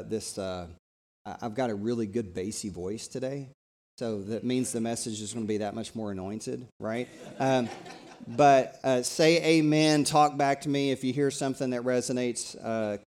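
A middle-aged man from East Tennessee, preaching a live church sermon in a conversational, energetic way that invites the congregation to talk back. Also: mid-range voice, moderate pace. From East Tennessee, USA. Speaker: pastor.

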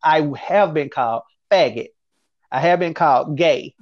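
A man speaking as a guest in an interview, calm and reflective, who often repeats his phrases and checks in with his listener. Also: fairly high pitch, unhurried, no room sound, lo-fi sound. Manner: reflective, speaks in repeated phrases, often says "you know what I'm saying". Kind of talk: candid conversational interview.

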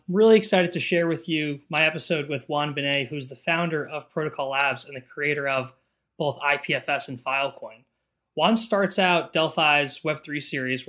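A man hosting a crypto podcast, speaking with eager enthusiasm and frequent fillers. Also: fairly high pitch. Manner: enthusiastic, repeats "really excited". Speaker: podcast host. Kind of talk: upbeat podcast intro and interview.

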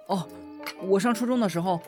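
A man's voice: high-pitched, a little fast, faint music behind, close to an everyday voice. A man in an audio drama playing an eager young employee in his twenties, polite and deferential. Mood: eager, grateful. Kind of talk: amateur Chinese audio drama acting.

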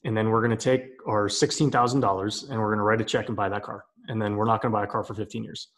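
A man hosting a casual chat, with an easygoing, relaxed delivery. He laughs readily and draws his guest out with probing follow-up questions. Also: lowish voice, quick pace.

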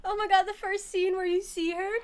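A young woman co-hosting a casual podcast chat, excitable and laughing often. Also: extremely high pitch, a little fast. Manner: giggly, excitable, lots of laughter. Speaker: podcast co-host.

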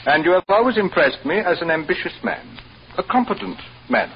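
An actor playing a pompous, domineering hotel manager in an old radio drama, speaking formally and with deliberate condescension. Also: male voice, high voice, expressive. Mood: condescending. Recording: dull, faintly noisy old radio recording.

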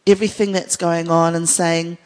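A woman's voice: lowish voice, flat delivery, normal speed, darkish tone. A woman with a New Zealand accent speaking off the cuff as a worship leader, earnest and conversational with playful asides. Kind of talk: unscripted panel conversation about faith. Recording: echoey hall with long reverb.

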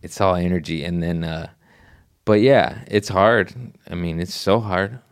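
A man's voice: sits low, unhurried, clean tone, no echo, studio quality. A man who is a comedian, chatting casually on a podcast, swearing freely and slipping into silly voices. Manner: profane, goofy, slips into voices and impressions. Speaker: comedian guest.

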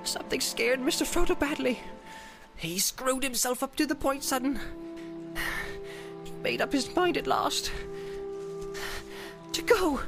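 A man voicing an anxious, loyal hobbit servant, talking to himself in a halting, rustic way. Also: extremely high pitch, expressive, voice pushed up, full character voice. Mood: anxious, puzzling. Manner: rustic, halting self-talk with repeated words. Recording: music under the voice.

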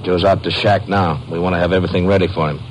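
Man acting a low-voiced domineering crook, old radio. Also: steady tone, quick pace, lightly acted. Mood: impatient, confident. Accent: American. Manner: blunt, commanding, colloquial tough talk. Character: domineering small-time male crook, ringleader, coldly persuasive. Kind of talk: 1940s-50s American radio drama acting. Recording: noisy old radio drama recording.

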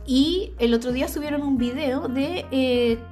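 A woman's voice: high-pitched, measured pace, soft background music. A Chilean woman co-hosting a podcast, chatting casually and laughing as she teases. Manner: laughing, animated, teasing. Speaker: podcast co-host. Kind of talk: casual chat.

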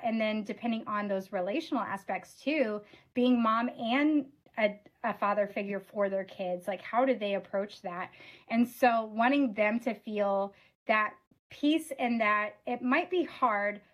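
A woman with a slightly raspy voice, a ministry leader answering interview questions in an earnest, casual way over a remote call, with fillers like "oh my gosh". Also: medium pitch, measured pace, rough recording.

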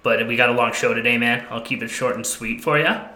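A man's voice: mid-range voice, steady tone, brisk, pushed and bright, reverberant. A man co-hosting a podcast, chatting casually and enthusiastically in slangy banter with a friend. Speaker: podcast co-host.

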